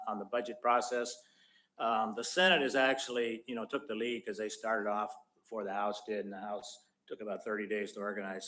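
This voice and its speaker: A man serving as mayor, giving a spoken update at a public meeting in a halting way, with many restarts and fillers. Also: on the low side, fairly bright tone.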